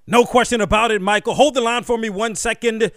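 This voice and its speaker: A man's voice: very high voice, steady tone, a little fast. A man hosting a radio interview show, emphatic and enthusiastic as he asks his questions. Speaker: radio host.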